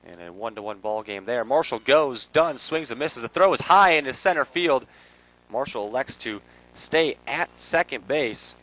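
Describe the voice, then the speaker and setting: A man calling a softball game live on the radio, measured between plays and ready to burst into excited calls. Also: mid-range voice, low-quality recording. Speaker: play-by-play sports commentator.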